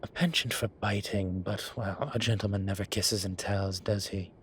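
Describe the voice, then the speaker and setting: A man playing a flirtatious, cheeky incubus demon in an audio roleplay, talking in a teasing, suggestive way with drawn-out pauses. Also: on the low side, steady tone, slight background noise, lightly acted. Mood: smug flirting. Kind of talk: audio roleplay acting, one-sided conversation.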